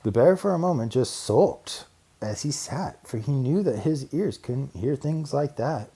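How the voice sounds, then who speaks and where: A man narrating a rhyming children's storybook aloud in an expressive way. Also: medium pitch, measured pace, slightly rough.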